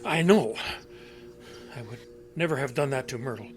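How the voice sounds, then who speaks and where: A man's voice: medium pitch, animated, over music, natural voice. An actor as a stammering, confused old man. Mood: flustered denial. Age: older. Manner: halting, stammering, repeating words. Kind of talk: audio drama acting.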